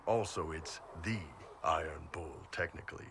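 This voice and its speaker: An actor playing a huge, blunt, jovial mercenary warrior in a video game, with a casual wry delivery. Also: male voice, extremely low pitch, normal speed, a bit bright, exaggerated voice. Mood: wry, amused. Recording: clear vehicle-like ambient noise behind.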